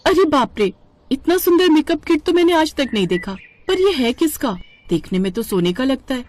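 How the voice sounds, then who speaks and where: Woman acting a vain, high-pitched jealous housewife. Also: sing-song, normal speed, darkish tone, slight background noise. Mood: greedy delight. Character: vain, jealous, greedy young daughter-in-law.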